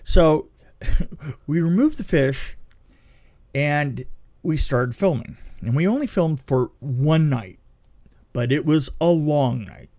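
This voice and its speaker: A man's voice: fairly high pitch, takes it slowly, long pauses. A middle-aged man telling casual stories as a guest, often repeating phrases as he goes. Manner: animated retelling with repeated phrases and acted-out quotes. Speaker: film effects veteran, podcast guest. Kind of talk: casual anecdotal storytelling.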